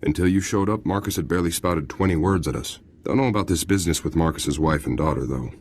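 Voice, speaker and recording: Game role: easygoing male officer, extremely low register, chatty, frank, loyal. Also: fast talker, breathy edge. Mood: wry, candid. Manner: chatty, candid, informal. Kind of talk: video game character dialogue.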